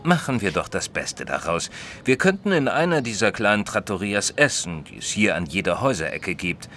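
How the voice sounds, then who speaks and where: An actor playing a modest, sensible male companion who narrates a detective audio drama in a measured, literate way. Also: lowish voice, sing-song, sharp tone, a little hiss or hum, full character voice. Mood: mildly puzzled. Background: music.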